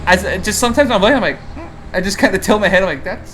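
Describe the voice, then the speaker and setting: A man's voice: sits high, expressive, rapid-fire, a bit bright, a little hiss or hum. A man hosting a casual podcast interview, teasing and blunt in his talk. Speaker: podcast host.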